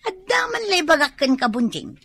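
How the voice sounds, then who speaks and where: Radio drama: exasperated, reproachful Ilocano wife, high forceful voice, close dry sound. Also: female voice, sing-song, normal speed, dry recording, full character voice. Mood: scolding. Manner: reproachful, nagging. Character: exasperated, scolding wife embarrassed before the neighbours.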